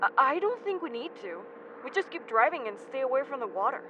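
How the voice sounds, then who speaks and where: A woman's voice: high-pitched, big pitch swings, brisk. A woman acting a nervous, earnest young man who speaks hesitantly in an audio drama. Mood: subdued. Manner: stammering, hesitant. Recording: muffled, vehicle noise behind.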